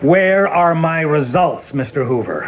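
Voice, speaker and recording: Guy doing self-important big shot politician, radio play, gruff, impatient, demanding, talks like he's giving a speech. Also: fairly high pitch, animated, measured pace. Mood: demanding, indignant. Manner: pompous, emphatic, oratorical.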